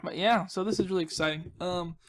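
High-pitched, creaky, goofy man rambling over gameplay. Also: brisk, a bit bright. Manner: goofy, playful, repeats words and phrases. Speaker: host of a gaming channel. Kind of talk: casual rambling gameplay commentary.

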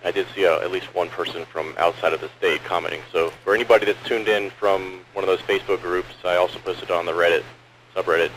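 A man's voice: low-pitched, steady tone, fast, a bit bright. A man calling in casually to a radio net over a two-way radio. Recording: noisy ham radio transmission.

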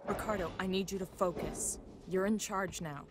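A woman's voice: medium pitch, moderate pace, background noise, close to an everyday voice. A woman playing a determined, commanding survivor in a video game, speaking in a terse, urgent way. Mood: insistent.

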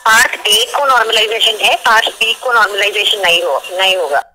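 A woman with an Indian accent answering an enquiry tersely and haltingly on a recorded phone call. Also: medium pitch, background noise. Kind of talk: phone conversation. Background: music.

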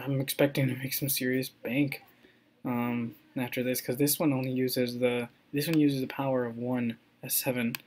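A young man giving a casual, rambling screen-recorded update, excitable and full of ums and restarts. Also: medium pitch. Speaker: crypto mining vlogger.

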